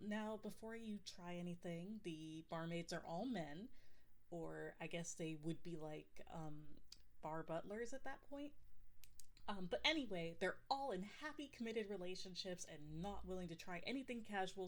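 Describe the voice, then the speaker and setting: A woman's voice: lowish voice, lively intonation, normal speed, lightly acted. A woman playing a possessive, insistent yandere girlfriend who runs a tabletop game in an ASMR roleplay, rambling with defensive asides and close to the mic. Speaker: game master. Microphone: close binaural microphone.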